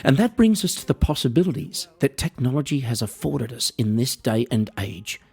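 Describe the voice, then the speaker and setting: An Australian man hosting a podcast, delivering a wry, rambling, mock-earnest comedic monologue with a husky voice. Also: medium pitch, a little mellow. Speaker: podcast host.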